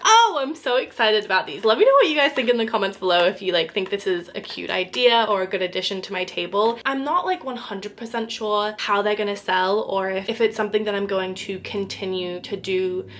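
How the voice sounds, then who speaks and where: Casting: young woman vlogger, upbeat and chatty, a touch croaky, medium pitch. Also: brisk, hardly any pauses, a bit bright, slight background noise. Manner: chatty, filler-heavy, upbeat. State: slightly croaky, losing her voice. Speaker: small art business owner vlogging. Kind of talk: casual vlog narration.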